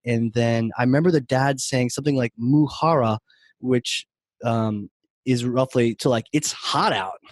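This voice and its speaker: A man's voice: medium pitch, unhurried, no echo. A man from New Hampshire, co-hosting a podcast, telling stories in a chatty way and laughing often. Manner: chatty storyteller, laughs often, restarts phrases. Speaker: podcast co-host. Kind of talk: casual chat.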